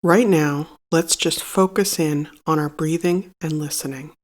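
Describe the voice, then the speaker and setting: A meditation guide reading a scripted narration in a measured, solemn and instructive manner. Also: mid-range voice, fairly even intonation.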